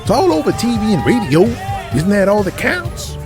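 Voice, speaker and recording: A man's voice: high voice, sing-song, moderate pace, over music. A man playing a brash, argumentative eighties pro wrestler who talks back defiantly. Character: brash, foul-mouthed, argumentative 1980s male pro wrestler.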